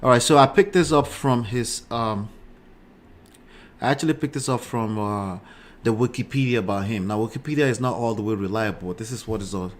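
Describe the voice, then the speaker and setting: A man's voice: fairly low pitch, brisk. Nigerian man hosting a live show. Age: 40s. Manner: conversational, punctuated with check-in tags like right and okay. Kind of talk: casual live commentary.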